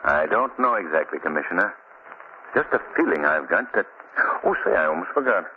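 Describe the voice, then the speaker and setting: An actor playing a composed, observant gentleman sleuth in a 1940s radio mystery. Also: deep voice, expressive, fairly quick. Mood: urbane, pensive. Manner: composed, thoughtful, urbane. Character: suave, observant amateur sleuth, adult man. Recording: scratchy, tinny 1940 radio recording with hiss.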